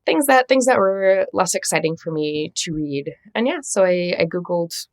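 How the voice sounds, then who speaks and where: A woman's voice: fairly low pitch, animated, moderate pace, no echo, clean studio sound. A woman, a translator and editor answering interview questions in a reflective, self-deprecating way, laughing often and restarting phrases. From United States.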